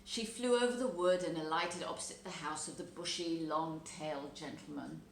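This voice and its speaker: A woman reading a children's story aloud as an expressive narrator. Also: low voice, normal speed. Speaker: storybook reader. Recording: roomy echo, background noise.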